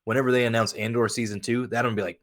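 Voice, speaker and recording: A man co-hosting a podcast, chatting casually and giving opinions in a halting, blunt way with some swearing. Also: mid-range voice, level tone, fairly bright tone. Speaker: podcast co-host.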